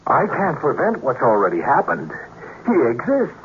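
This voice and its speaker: A man's voice: medium pitch, wildly swooping pitch, moderate pace. A man playing an earnest, harried young lover who explains and pleads, with an American accent, in a 1950s radio drama. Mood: earnest, reasoning. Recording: muffled, noisy 1950s radio recording.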